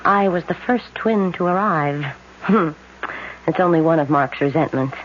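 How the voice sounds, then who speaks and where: A woman's voice: lowish voice, moderate pace, lightly acted. An actress playing a troubled, devoted young American woman in a 1970s radio drama, speaking in an earnest, emotional, hesitant way. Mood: troubled, wistful. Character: troubled, devoted young daughter, soft-hearted twin sister. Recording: muffled old radio recording with hiss.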